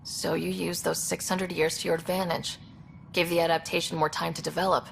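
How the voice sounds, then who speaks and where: Young space explorer girl, quick talker, lowish creaky voice, curious, plain game dialogue, some noise. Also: little pitch movement, poor audio. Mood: curious, calm. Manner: plain, inquisitive, conversational. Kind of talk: video game dialogue acting.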